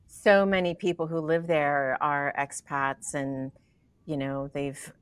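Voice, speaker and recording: Low-voiced woman host, dry close sound. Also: little pitch movement, takes it slowly, clean tone, no echo. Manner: friendly, chatty, with frequent affirmations. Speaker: podcast host. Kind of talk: casual interview conversation.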